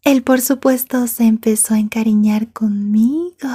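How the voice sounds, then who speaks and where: A woman's voice: on the high side, steady tone, measured pace, dark tone, breathy edge, dry recording, clean studio sound. A woman playing an obsessive, sweetly menacing yandere schoolgirl in an ASMR roleplay, murmuring with mock-polite menace. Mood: smitten, gloating.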